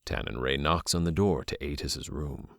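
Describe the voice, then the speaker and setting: A man's voice: rumbling low, moderate pace, vocal fry, fairly bright tone, no room sound, clean studio sound, exaggerated voice. A man acting as the narrator of a scripted fantasy audio drama, telling the tale in the third person. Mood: even.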